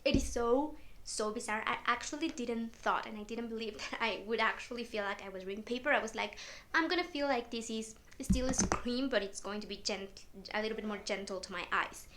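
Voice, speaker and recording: A woman's voice: on the high side, brisk, fairly bright tone, a little hiss or hum. A young woman, not a native English speaker, chatting casually as a book vlogger, with lots of fillers and small laughs. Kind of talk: casual chat.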